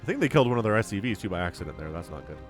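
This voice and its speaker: Male esports caster, animated delivery, informal and chatty, slightly sniffly, music underneath. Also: low-pitched, very fast. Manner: chatty, informal, slangy asides. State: sniffly with a cold. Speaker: esports shoutcaster. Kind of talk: casual live game commentary.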